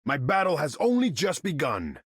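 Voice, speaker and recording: A man's voice: a little high, wildly swooping pitch, normal speed, a bit bright, slightly rough, no echo, clean studio sound, heavily acted. An actor playing a proud, haughty sea king warrior, speaking in a regal, pompous, theatrical manner as a video game character. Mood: haughty, commanding. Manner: regal, pompous, theatrical declamation in formal speech.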